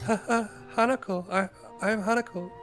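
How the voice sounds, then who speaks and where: A man plays a painfully shy visual novel schoolgirl, pitched up. She speaks quietly and haltingly, stammering timidly, with music behind. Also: steady tone. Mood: timid, nervous.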